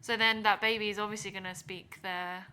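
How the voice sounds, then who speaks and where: Bright-voiced woman, native Korean speaker, chatting. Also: medium pitch, flat delivery, a little fast, a little hiss or hum. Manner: informal, colloquial. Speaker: podcast co-host. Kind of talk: casual chat.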